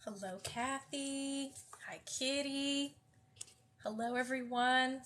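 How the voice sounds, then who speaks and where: A woman's voice: sits high, fairly even intonation, takes it slowly, fairly bright tone. A woman with a slightly nasal, congested voice, hosting a live book reading and chatting casually with viewers, with many fillers and restarts. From US West Coast. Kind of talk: casual livestream chat greeting viewers.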